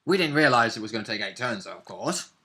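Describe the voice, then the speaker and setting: A man's voice: medium pitch, big pitch swings, fast talker, pushed and bright. A man chatting casually as a player about game tactics, animatedly acting out his thoughts. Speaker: player in a tabletop role-play group.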